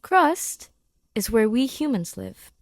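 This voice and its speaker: A woman voicing an eager, friendly human male science teacher, animated and encouraging, in a fan fiction audio reading. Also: fairly high pitch, takes it slowly, no room sound, studio quality, voice pushed up, heavily acted. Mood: eager, explaining. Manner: animated, encouraging classroom delivery.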